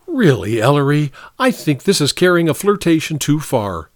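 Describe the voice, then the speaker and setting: A man voicing a sharp, jealous young woman in a story, indignant and scornful in manner. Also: a little high, sing-song, moderate pace, no echo, studio quality, pitched above the performer's own voice. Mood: scolding.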